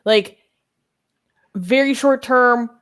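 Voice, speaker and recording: A woman's voice: a little high, extremely slow. A young woman co-hosting a live Q&A chat, thinking aloud and hesitant, with many ums. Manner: thoughtful, hesitant, lots of ums and laughs. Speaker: co-host.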